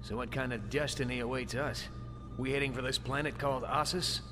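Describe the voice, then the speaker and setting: Man playing a plain-spoken space recruit, mid-pitched. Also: steady tone, fairly quick, music in the background, close to an everyday voice. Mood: curious. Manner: informal, questioning. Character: skeptical, plain-spoken male newcomer among the Jedi. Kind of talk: audio drama acting. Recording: reverberant, loud orchestral score.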